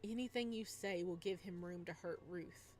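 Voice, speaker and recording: Expressive woman reading a visual novel. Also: on the low side, moderate pace, faint music behind. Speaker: game streamer. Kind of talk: expressive visual novel read-aloud with casual commentary.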